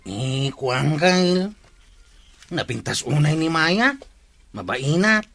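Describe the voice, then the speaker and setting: A bright-voiced bashful nephew. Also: male voice, a little high, very animated, slow, gravelly, exaggerated voice. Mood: shy, hesitant. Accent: Ilocano. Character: bashful bachelor nephew of the village captain. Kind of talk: Ilocano radio drama acting.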